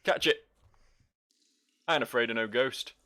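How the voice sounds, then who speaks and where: A man's voice: mid-range voice, normal speed, bright, forceful tone. A man giving casual, joking and sarcastic commentary as he plays a video game. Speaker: gaming video host.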